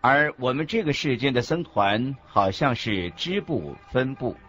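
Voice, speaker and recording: Music plays behind a dull recording of an earnest Buddhist master in a radio drama. He teaches didactically in measured phrases, with lively rises and falls at a medium pitch. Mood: earnest, instructive. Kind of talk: scripted radio drama dialogue, expository. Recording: dull lo-fi audio with background music.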